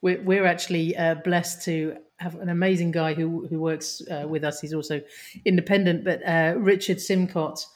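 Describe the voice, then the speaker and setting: A woman's voice: fairly low pitch, fairly even intonation, normal speed. A woman co-hosting, chatting in a hesitant way with many restarts. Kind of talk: casual chat.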